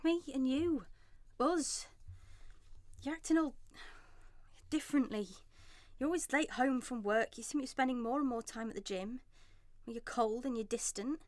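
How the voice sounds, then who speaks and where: Woman acting as a young mother, high voice, anxious and loving, dry close sound, radio drama. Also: normal speed, long pauses, darkish tone, no room sound, natural voice. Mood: concerned, gentle. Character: anxious loving young wife and mother, pleading then frightened. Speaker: actress and narrator in a radio drama.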